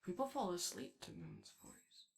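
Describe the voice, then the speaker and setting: A woman voicing an anxious, gentle male animatronic daycare robot in ASMR roleplay, with a strained, raspy voice and hesitant, apologetic speech. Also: on the low side, a little slow. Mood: insecure, wistful. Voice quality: strained, raspy, creaky, as if voice lost from yelling. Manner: hesitant, nervous, stammering, apologetic. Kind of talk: ASMR roleplay comfort audio.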